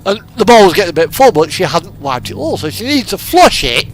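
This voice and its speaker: A man's voice: high voice, big pitch swings, fairly quick, slight background noise, low-quality recording. A British man in casual, rambling chat, telling crude, jokey anecdotes in the manner of a game streamer. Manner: crude, jokey, chuckles often. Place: indoors over driving game audio. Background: music and vehicle engine sounds.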